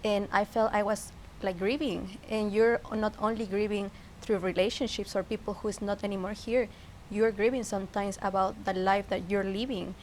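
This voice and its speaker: A woman with a Peruvian Spanish accent shares personal reflections in casual conversation. Her voice sits at a middle pitch and her phrasing is searching and a little hesitant, with clear noise behind her. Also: fairly even intonation, moderate pace, rough recording. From Peru. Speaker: interview guest. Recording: clear background noise, ambience.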